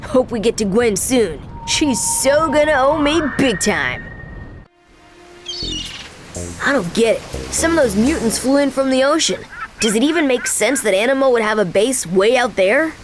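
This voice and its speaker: A woman's voice: fairly high pitch, lively intonation, normal speed, background noise, natural voice. A woman voicing a brash, sarcastic ten-year-old boy hero in a cartoon video game. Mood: earnest. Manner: sarcastic, brash kid delivery.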